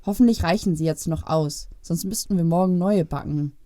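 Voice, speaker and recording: Mother role, played by a woman: low, soft-toned, gently firm, dry recording, Christmas audio play. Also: normal speed, no echo, natural voice. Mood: gently chiding. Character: gentle, practical mother speaking to her young daughter.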